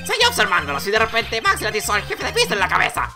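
A man voicing an excitable, jokey circus clown in a video game, with a theatrical, giggly, exclamatory manner. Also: sits high, racing, soft background music, pitched above the performer's own voice, heavily acted. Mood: recounting. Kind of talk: comic video game dialogue dubbing.

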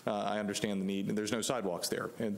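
A man's voice: on the low side, level tone, gravelly. A man in his forties with laryngitis, presenting a zoning application as an attorney at a council chamber podium mic. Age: 40s. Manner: measured, many fillers. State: hoarse from laryngitis. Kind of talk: formal public presentation. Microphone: podium microphone.

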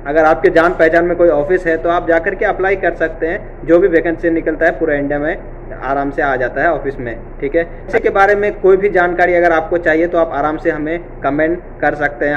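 Young man explaining job vacancies, higher voice. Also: highs cut off, a little hiss or hum. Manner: repetitive, reassuring, frequent filler "theek hai". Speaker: job vacancy channel host. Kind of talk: casual explainer vlog. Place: indoor office room.

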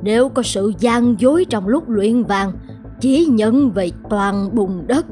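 A solemn old mother-in-law from a cartoon fable. She instructs deliberately, like an elder with a secret. Faint music sits behind her. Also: on the high side, warm tone. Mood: solemn, cautioning. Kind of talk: cartoon voice acting.

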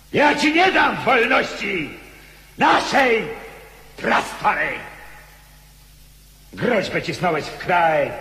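A proud rebel nobleman's ghost in a theatrical radio play. He declaims slowly and with passion in a fairly high male voice. Long echoing reverb surrounds every phrase. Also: sharp tone, heavily acted. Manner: declamatory, slow, impassioned. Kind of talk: theatrical radio drama acting. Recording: echoey hall with long reverb.

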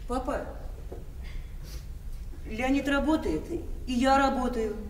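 An actress playing a worried, exasperated grown daughter in a radio play, earnest and reproachful. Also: female voice, high voice, slow. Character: worried, exasperated grown daughter torn between father and husband. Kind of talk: Soviet-era radio play acting. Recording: echoey hall, distant, faint noise.

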